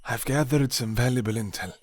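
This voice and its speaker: A man's voice: mid-range voice, a little fast, no echo, close to an everyday voice. A man voicing a loyal thrall captain who reports in a clipped, dutiful way. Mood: urgent.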